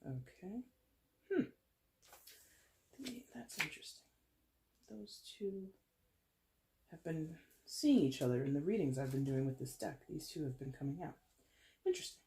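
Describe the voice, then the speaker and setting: Stuffy-nosed tarot reader, chatty and rambling, mid-pitched, clearing a dry throat. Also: sing-song, moderate pace, long pauses, warm tone. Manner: chatty, rambling, self-correcting with small laughs. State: congested with a head cold. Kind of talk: casual tarot reading to camera.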